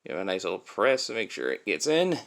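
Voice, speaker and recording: Bright-voiced low-pitched man reviewing toys. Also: very animated, quick pace, gravelly. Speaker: toy reviewer. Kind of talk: casual hands-on product demonstration.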